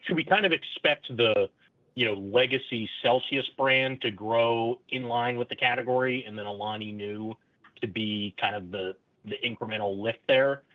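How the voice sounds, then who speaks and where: A man's voice: medium pitch, normal speed, dry recording. A man calling in as a financial analyst, asking long questions with repeated words on an earnings call. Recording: dry, tinny phone line.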